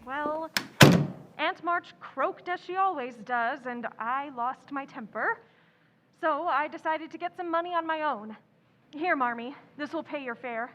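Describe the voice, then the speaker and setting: A woman's voice: sits high, a little slow, background noise. A woman playing a headstrong, spirited young tomboy in old-time radio drama style, emphatic and breezy. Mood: proud, defiant. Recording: dull recording in a reverberant hall.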